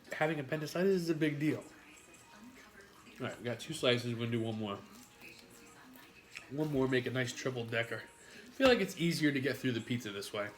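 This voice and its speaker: A man with a New York accent eating on a live stream, rambling to his chat with frequent swearing and jokes. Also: mid-range voice. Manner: rambling, profane, jokey with chat.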